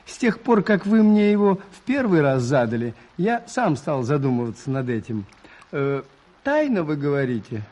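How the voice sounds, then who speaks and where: A gentle, shrewd priest in a radio drama. His voice is on the high side, mellow and husky, and he speaks modestly, hesitating as he thinks. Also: very animated, normal speed. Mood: reflective. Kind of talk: Soviet radio play acting.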